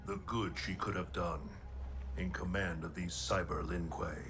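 A man's voice: low-pitched, fairly even intonation, unhurried. A man playing a solemn, commanding thunder god in a video game, speaking with grave authority. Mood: grave, judging. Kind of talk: video game cutscene acting. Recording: loud music behind.

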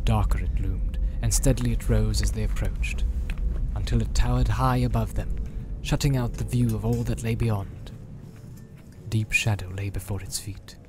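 A man narrating a fantasy audiobook in a measured, solemn, dramatic way, drawing out his phrases. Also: on the low side, noisy background. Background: music.

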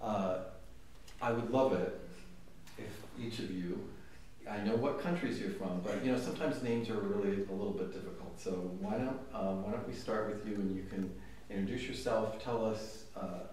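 A middle-aged man hosting a casual interview in a conference room, relaxed and chatty with fillers. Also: fairly low pitch, normal speed, a little hiss or hum. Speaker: interviewer, content manager. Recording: echoey room, mic far off.

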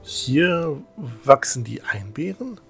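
A man's voice: medium pitch, sing-song, slow, creaky, breathy edge, soft background music, exaggerated voice. A man playing a hesitant, wary young traveller in a fan-made fantasy audio drama. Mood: dismayed. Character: hesitant, easily winded young male traveller Hector.

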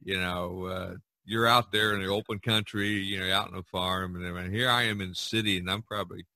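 A man hosting a casual podcast chat, talking in a halting way with many you knows. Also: low voice, steady tone, pushed and bright, no room sound. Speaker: podcast host.

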